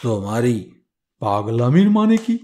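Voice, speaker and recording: A man's voice: mid-range voice, very animated, unhurried, warm tone. A man voicing a senior temple priest, admonishing and earnest, in a storybook reading. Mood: scolding, alarmed. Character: senior male temple priest, stern, concerned, protective.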